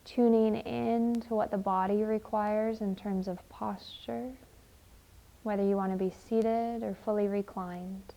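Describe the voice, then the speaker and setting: Female meditation guide, mellow and unhurried, gentle measured phrasing, slightly dull lo-fi recording. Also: mid-range voice, steady tone, creaky. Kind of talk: slow, soothing guided meditation and poem reading.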